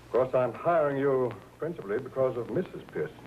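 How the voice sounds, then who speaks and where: Animated, businesslike wealthy employer played by a man. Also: mid-range voice, close to an everyday voice. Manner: deliberate, businesslike, hesitating tactfully. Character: measured, frank, well-off husband hiring a chauffeur. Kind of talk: 1950s crime drama acting. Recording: dull, noisy old film soundtrack.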